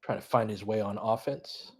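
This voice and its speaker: A man's voice: lowish voice, normal speed, breathy edge. A man hosting a casual live basketball play-by-play, chatting informally with listeners and analysing play as it happens. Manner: conversational, analytical, informal with mild swearing. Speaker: host of a fan audio play-by-play stream.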